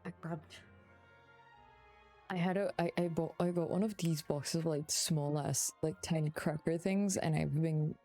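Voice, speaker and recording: A woman with a fairly low, very mellow voice talks casually to viewers as she streams a game. She is slangy and swears freely. Faint game music plays behind her. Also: fairly even intonation, a little slow.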